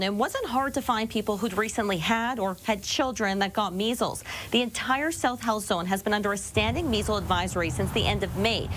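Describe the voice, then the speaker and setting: A woman's voice: medium pitch, a bit bright, a little hiss or hum, low-quality recording. A woman filing a news report, delivering it evenly and informatively. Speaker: field reporter.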